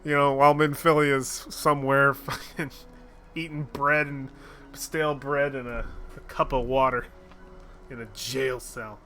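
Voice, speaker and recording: A man's voice: mid-range voice, flat delivery, measured pace, bright, forceful tone, soft background music. A man streaming a game, casually joking and swearing as he reacts to it. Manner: joking, swearing, laughs often.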